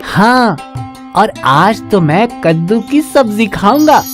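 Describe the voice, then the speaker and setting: Woman voicing a humble, unhurried young farmer man. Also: mid-range voice, sing-song, faint music behind. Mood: excited. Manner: earnest, respectful, tender.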